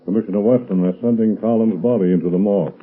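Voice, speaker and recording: Male police captain, actor-played, low and husky, plain deferential reporting, tinny vintage broadcast. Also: quick pace, loud music behind the voice, lightly acted. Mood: dutiful, matter-of-fact. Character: dutiful, matter-of-fact male police captain. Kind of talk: 1930s-40s radio drama acting. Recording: tinny old radio recording.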